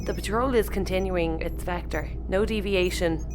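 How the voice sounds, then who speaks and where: A woman's voice: on the low side, normal speed, background noise, lightly acted. A woman with an Irish accent playing an anxious, principled spaceship pilot in a sci-fi audio comedy. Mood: focused. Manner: expressive, fretful.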